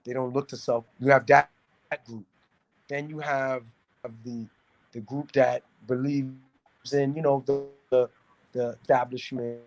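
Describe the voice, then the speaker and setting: A man from New City, talking casually and giving opinions in a halting way, repeating words as he searches for phrasing. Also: mid-range voice, little pitch movement, a little mellow, poor audio. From New York City, United States. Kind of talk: casual discussion, opinionated talk.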